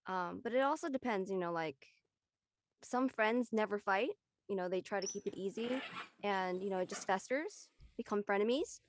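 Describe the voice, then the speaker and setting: A woman's voice: mid-range voice, normal speed, slight background noise. A woman chatting casually on a panel, giving reflective advice into a close microphone. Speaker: panelist in an online discussion.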